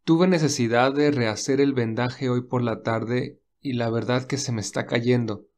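A man voicing a caring young male lead in a read-aloud romance, speaking softly with light teasing. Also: mid-range voice, steady tone, normal speed, lightly acted. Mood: gentle.

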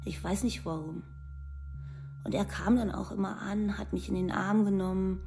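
A woman's voice: medium pitch, steady tone, normal speed, creaky, warm tone, a little hiss or hum, close to an everyday voice. An actress playing a young far-right ex-convict woman, talking plainly in clipped slang in a documentary radio play. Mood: tender. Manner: plain colloquial slangy telling in clipped sentences.

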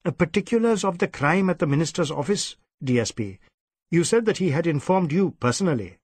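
Man playing a meek, husky police inspector. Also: fairly high pitch, expressive, fairly quick, a little mellow, dry recording, pitched above the performer's own voice. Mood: nervous, deferential. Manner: hesitant, meek, eager to please. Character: anxious, deferential Indian police inspector. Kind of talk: audiobook character acting.

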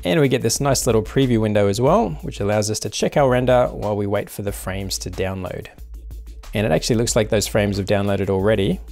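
Gravelly-voiced man explaining software calmly. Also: lowish voice, brisk, faint music behind. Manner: calm step-by-step explaining. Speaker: tutorial host. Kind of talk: screen-recorded software tutorial. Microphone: voice-over.

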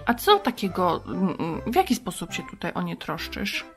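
A woman voicing a curious, friendly puppet creature, gently asking questions in a children's programme. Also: lowish voice, very animated, moderate pace, faint music behind.

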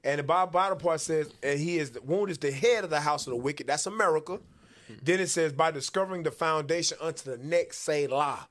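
A man, hosting a religious commentary show and teaching from the Bible in an animated, preacherly way. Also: on the high side, a little fast. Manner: animated, preacherly, calls for verses. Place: small podcast studio. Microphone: boom-arm studio mic.

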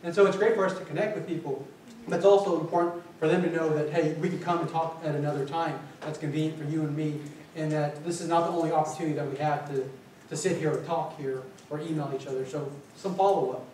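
A man in his forties from the Philippines, giving an energetic conference talk and asking the audience questions. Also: on the high side, quick pace, a little hiss or hum. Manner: energetic, paces and gestures, asks the audience questions. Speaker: college administrator presenting. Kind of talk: conference presentation. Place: small meeting room with windows. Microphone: far camera, no visible mic. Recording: echoey room picked up from far off.

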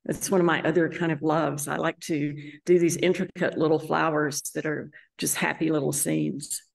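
A woman in her sixties from West Texas, with a West Texas accent, talking to camera in an earnest, relaxed way about her life as a landscape artist. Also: sits low, vocal fry. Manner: relaxed, earnest, personal storytelling. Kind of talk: casual talk to camera. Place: indoor art gallery room.

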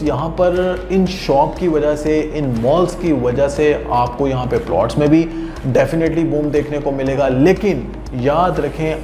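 A young man, giving an emphatic sales pitch to camera as a property consultant host in an indoor studio. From Pakistan. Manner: emphatic, repetitive, direct address to viewers. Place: indoor studio, seated before a backdrop. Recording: roomy sound with background noise.

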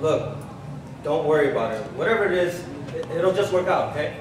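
Young guy playing resentful son talking back to his mom, highish voice, really echoey live stage. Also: lively intonation, quick pace, close to an everyday voice. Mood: defensive. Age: young adult. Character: defensive, resentful grown son of refugee mother. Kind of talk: staged play reading. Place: large glass-walled hall, on stage. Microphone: handheld microphone through PA speaker. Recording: echoey, distant, noisy live stage recording.

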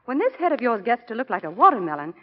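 A woman's voice: on the high side, big pitch swings, rapid-fire. An actress playing a long-suffering, wry young wife and mother in 1940s radio style, dry and teasing. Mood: wry, scolding. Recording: muffled 1940 radio broadcast.

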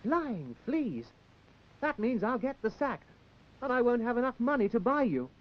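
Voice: medium pitch, big pitch swings, moderate pace, lightly acted. A performer playing a hapless, apologetic young man, earnest and plaintive, in a 1960s children's puppet show. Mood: dismayed. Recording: muffled 1960s TV soundtrack with music.

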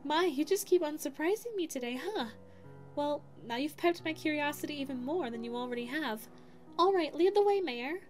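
Woman doing a sweet lovestruck dog girl secretary, high voice, stammery and shy, asmr roleplay w faint music. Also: expressive, fairly quick. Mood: excited, curious. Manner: stammering, breathy asides, self-effacing. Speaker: voice actor.

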